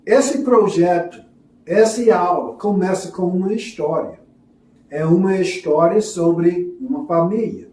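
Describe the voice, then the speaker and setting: A man with a non-native, foreign accent teaches a religious lesson and tells a story, speaking haltingly and apologetically. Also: high voice, darkish tone. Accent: foreign, non-native Portuguese. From foreign to Brazil, a self-described gringo. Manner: halting, hesitant in Portuguese, apologetic. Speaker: religious teacher presenting a course. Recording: echoey room, distant from mic.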